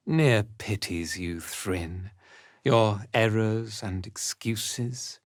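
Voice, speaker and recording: A man playing a haughty, zealous dark elf cult commander in a video game, with an imperious, contemptuous and theatrical delivery. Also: on the low side, extremely slow, dry recording, studio quality. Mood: zealous, menacing. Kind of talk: scripted video game character acting.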